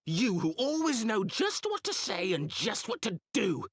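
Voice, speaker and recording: An anguished young nobleman from a fantasy game, high and husky in voice. His formal, pleading speech swings widely in pitch and rings in a long hall reverb. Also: huge pitch swings, measured pace, exaggerated voice. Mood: bitter. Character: anguished young nobleman, earnest and guilt-ridden. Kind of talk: dramatic video game cutscene acting.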